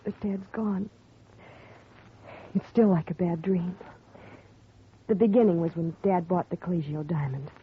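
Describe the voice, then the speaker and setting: An actress playing a grieving young woman whose father has been murdered, speaking softly and earnestly in a 1950s radio drama. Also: on the low side, fairly even intonation, a little slow, long pauses, husky. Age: young adult. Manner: soft and earnest, recounting quietly. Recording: tinny lo-fi 1950 radio broadcast with faint hiss.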